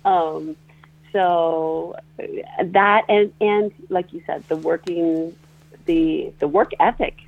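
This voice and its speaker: Rambling radio guest in their forties, gravelly and halting, heard through a tinny phone-like line. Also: medium pitch. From northeastern Pennsylvania. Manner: rambling, hesitant, many fillers and restarts. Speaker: guest, musician and songwriter. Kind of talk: radio interview.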